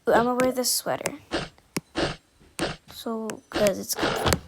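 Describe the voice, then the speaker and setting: Slow-paced, high-voiced young woman, noisy recording. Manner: unscripted, halting, with repeated self-talk. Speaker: video creator voicing a roleplay. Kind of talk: improvised roleplay voiceover. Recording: noisy home recording with clicks.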